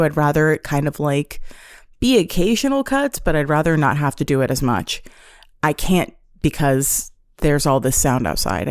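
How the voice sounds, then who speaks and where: Woman podcast host, casual confessional chat, gravelly fry, dry close sound. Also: low-pitched, darkish tone, no echo. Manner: chatty, self-deprecating, swears casually. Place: apartment room. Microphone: on-camera setup.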